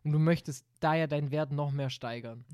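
A young man co-hosting a casual football podcast, relaxed and laid-back, speaking fairly softly. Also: on the high side, brisk, smooth, no room sound, studio quality. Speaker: podcast co-host.

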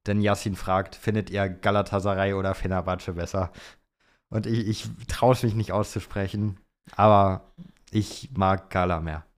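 Young male podcast host, low voice, casual and slangy chat. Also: steady tone, moderate pace. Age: young adult. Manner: informal youth slang. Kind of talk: casual chat about motorsport, answering listener questions.

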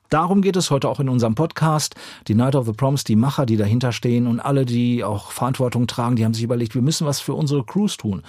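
Eager, teasing podcast co-host with a husky voice, close and dry. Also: male voice, medium pitch, darkish tone, no room sound. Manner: eager, interrupts, playful teasing. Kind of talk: casual studio chat. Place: podcast studio.

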